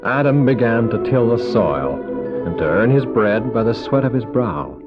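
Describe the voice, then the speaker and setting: Expressive man narrating, muffled tape. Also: mid-range voice, moderate pace. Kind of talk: scripted storybook narration. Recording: muffled old cassette with music.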